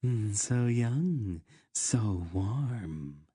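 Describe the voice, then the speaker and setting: A cruel, seductive queen from a fantasy storybook, acted out by a man. The delivery is languid and purring, raised in pitch, with a cold, bored superiority. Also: animated, drawn-out words, dark tone, breathy edge, no echo, studio quality, full character voice.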